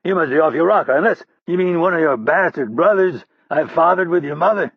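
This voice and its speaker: A man's voice: on the high side, fairly quick, slightly rough, no echo, narrow, tinny audio, voice pushed up. A man voicing a foul-mouthed, defiant American prisoner who mocks his captors, in an audiobook. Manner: sarcastic, loud, cursing.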